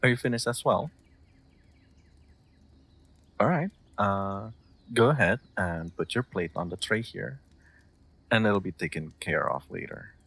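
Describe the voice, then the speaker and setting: A man playing a gentle, wry nobleman in an intimate audio roleplay, soft-spoken and a little hesitant, with formal wording. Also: fairly low pitch, unhurried, creaky, long pauses, husky, heavily acted. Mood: playful, attentive. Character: gentle, wry young nobleman comforting a guest. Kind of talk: intimate scripted audio roleplay, addressed to listener.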